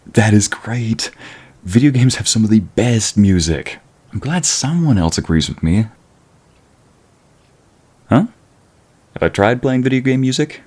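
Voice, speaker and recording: A man playing a shy, courteous, smitten concert violinist in a romantic ASMR roleplay, speaking with halting, formal politeness. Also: lowish voice, expressive, darkish tone, husky. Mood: warm, earnest.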